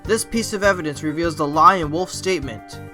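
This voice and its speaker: A man acts an earnest fox defence lawyer in a courtroom audio drama. His voice sits a bit high and he argues with deliberate confidence. Music plays clearly underneath. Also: natural voice. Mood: confident, assertive. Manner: deliberate, reasoning aloud.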